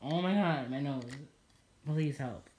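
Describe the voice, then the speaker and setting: A man's voice: on the high side, takes it slowly, darkish tone, a little hiss or hum. A young man with a stuffy nose chatting casually while unboxing a toy at home, rambling with lots of fillers. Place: indoors at a table in a home room.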